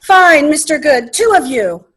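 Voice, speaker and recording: A woman playing a brisk, formally polite but exasperated restaurant hostess in a comic readers' theater sketch. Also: high voice, very animated, a bit bright, heavily acted. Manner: brisk, formal customer-service phrasing.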